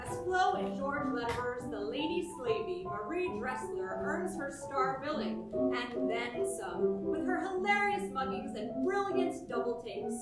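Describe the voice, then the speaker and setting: Stage musical: declaiming, enthusiastic old-time reviewer, high voice, off-mic. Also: female voice, animated, a little slow, few pauses. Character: declaiming 1890s newspaper theater critic, enthusiastic. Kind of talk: theatrical scripted recitation. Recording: echoey distant stage recording with music.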